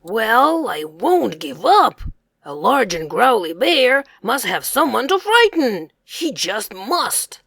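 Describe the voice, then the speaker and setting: A woman voicing a large, growly, boastful male bear in a read-aloud storybook, speaking gruffly and stubbornly. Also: a little high, sing-song, takes it slowly, a bit bright, no room sound, studio quality, exaggerated voice.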